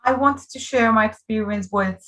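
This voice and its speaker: A woman's voice: fairly high pitch, moderate pace, bright, forceful tone, rough recording. A woman, answering interview questions in an earnest, passionate and emphatic way. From Bulgaria. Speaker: interviewee, political activist.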